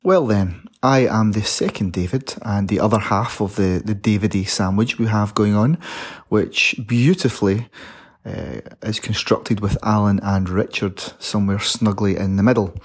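A man's voice: lowish voice, normal speed, husky. A man introducing himself conversationally as a podcast co-host.

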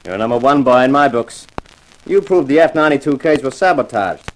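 An actor playing an easygoing, chummy plant security chief in a 1950s radio drama. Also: male voice, mid-range voice, lively intonation, fairly quick, slight background noise, close to an everyday voice, poor audio. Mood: genial, curious. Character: genial, folksy male plant security chief.

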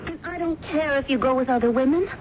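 A woman's voice: high voice, quick pace, close to an everyday voice. An actress playing an intense, infatuated woman gushing adoration in a melodramatic 1970s radio drama style. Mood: adoring. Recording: muffled, noisy 1970s radio broadcast.

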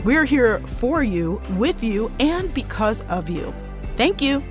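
A middle-aged woman hosting a podcast, reading a scripted intro and then asking relaxed questions. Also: a little high, slow pace, music in the background. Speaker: podcast host.

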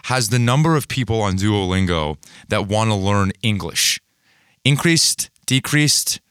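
A man's voice: lowish voice, animated, a little slow, fairly bright tone, clean tone, no echo, clean studio sound. A man hosting a podcast, asking pointed questions between short reactions. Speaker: podcast host, interviewer. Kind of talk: conversational interviewing.

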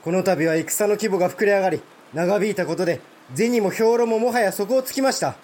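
A man's voice: high voice, fairly quick, a little mellow. A man playing a proud, blunt provincial samurai lord in a Japanese historical audio drama, speaking formal warrior speech. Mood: frank.

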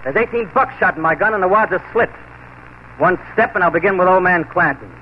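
A man's voice: high voice, fairly quick, lightly acted. An actor playing a fearless, principled frontier lawman in a 1940s Western radio drama, speaking firmly, dryly and plainly. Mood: challenging, loud. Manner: firm, dry, plain-spoken. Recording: muffled, noisy 1940s radio recording.

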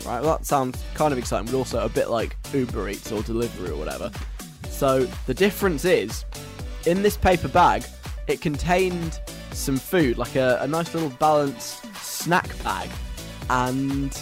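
A man's voice: mid-range voice, lively intonation, moderate pace, faint music behind. A young British man hosting a radio show in a conversational, wry, chatty style.

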